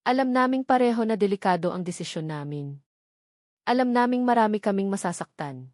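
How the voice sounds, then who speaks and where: A woman's voice: mid-range voice, a little mellow, dry recording, studio quality. A woman with a Filipino accent giving a scripted first-person narration of a romantic drama. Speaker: narrator of audio love stories.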